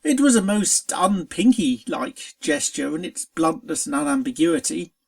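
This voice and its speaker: Story narrator, dramatic and expressive, very lively intonation with a creaky edge. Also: mid-range voice, measured pace. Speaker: fan fiction reader.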